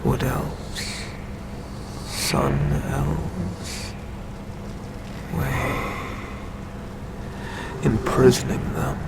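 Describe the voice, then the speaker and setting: An ancient eldritch god, acted by a man, tells his tale in a creaky, breathy murmur that often sinks to a whisper. He speaks extremely slowly and deliberately, sinister and domineering, in a close-miked ASMR roleplay. A loud rumble of noise runs behind the voice. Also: big pitch swings, heavily acted. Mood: ominous, patient. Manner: slow, deliberate, menacing, long pauses. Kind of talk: ASMR roleplay, scripted storytelling.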